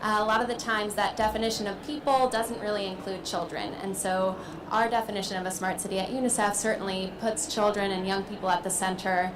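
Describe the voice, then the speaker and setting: A woman speaks on a conference panel in a measured, earnest way. Her pitch is mid-range. Steady noise runs under her voice. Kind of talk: panel discussion. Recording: noisy background.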